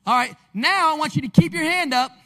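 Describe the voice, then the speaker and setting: A man, preaching a lively, conversational sermon on a church stage into a handheld mic. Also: very high voice, brisk. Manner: animated, conversational preaching with call-and-response. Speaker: pastor. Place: large church stage with screens. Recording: long reverb of a large hall.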